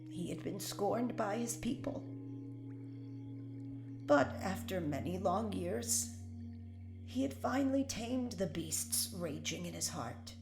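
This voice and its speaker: A woman playing a proud, devout older Puritan prisoner in a period audio drama, speaking solemnly and deliberately in archaic phrasing. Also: medium pitch, animated, vocal fry, music in the background. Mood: tender, solemn. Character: defiant, devout older imprisoned Puritan wife, proud and unbending. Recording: roomy echo.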